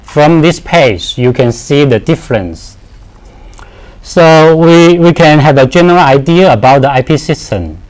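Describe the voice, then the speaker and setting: A man in his thirties giving a product training presentation, speaking haltingly with repeated words and simple, non-native English phrasing. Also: fairly high pitch, expressive, darkish tone, rough recording.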